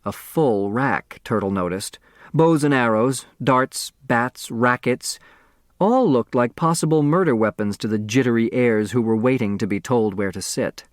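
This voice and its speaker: A man reading a mystery novel for young readers, in measured, theatrical storytelling narration. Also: medium pitch, no echo, clean studio sound. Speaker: audiobook narrator.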